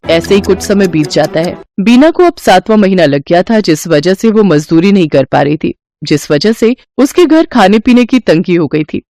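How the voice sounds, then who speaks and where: A woman's voice: on the low side, animated, normal speed, few pauses, dark tone, no echo, clean studio sound. A woman reading a scripted moral story as its narrator.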